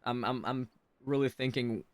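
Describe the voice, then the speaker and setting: Chatty male game streamer, medium pitch. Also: normal speed, no echo. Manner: chatty, reads chat aloud, thinks out loud. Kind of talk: casual livestream chat and reactions.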